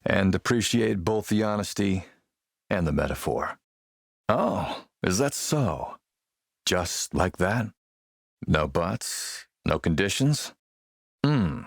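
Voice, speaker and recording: A man playing a smooth, menacing military warlord and arms dealer in a video game, suave, commanding and measured. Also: sits low, animated, no echo, studio quality, exaggerated voice. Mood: polite, probing. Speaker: villain character in an action role-playing game. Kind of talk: acted video game dialogue.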